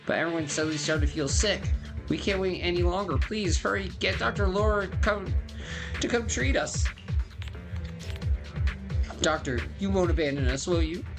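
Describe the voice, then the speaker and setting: A desperate, ailing game character, acted out by a man, begs for treatment. The voice is strained and lifted above ordinary talk, with game music clearly behind it. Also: high-pitched, moderate pace, pauses often, voice pushed up. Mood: desperate, pleading. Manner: pleading, strained. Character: desperate sick patient pleading for treatment.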